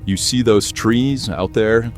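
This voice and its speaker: Weary, philosophical medieval French warrior, acted by a man; deep, unhurried, deliberate delivery for audio fiction. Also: soft background music. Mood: philosophical, reflective. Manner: slow, deliberate, reflective.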